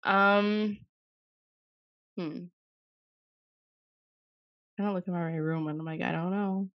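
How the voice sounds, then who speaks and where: A young woman with a fairly low voice, chatting loosely on a podcast and rambling from thought to thought with "like" in nearly every phrase. She sounds a little under the weather. The sound is dry and close, with no room around her. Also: steady tone, takes it slowly, dry recording, muffled recording. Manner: rambling, says "like" constantly, swears casually. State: slightly sick, voice a bit off. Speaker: podcast co-host. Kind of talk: casual chat.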